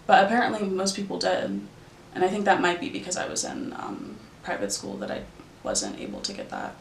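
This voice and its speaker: A woman's voice: mid-range voice, very animated, fairly quick, vocal fry, reverberant, a little hiss or hum, rough recording. A nineteen-year-old woman from Arizona, answering interview questions in a loose, rambling way with lots of fillers. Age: young adult. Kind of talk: casual recollection.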